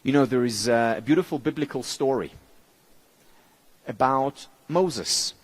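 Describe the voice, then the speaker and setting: A man whose mother tongue is Hebrew gives a motivational conference talk in English, with measured, emphatic phrasing and deliberate pauses. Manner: lively, expressive pitch, dropping low on key words. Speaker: keynote speaker.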